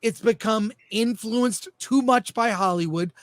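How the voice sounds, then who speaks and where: A man's voice: sits high, measured pace, no echo. A man telling anecdotes enthusiastically in casual talk show chat, as a host.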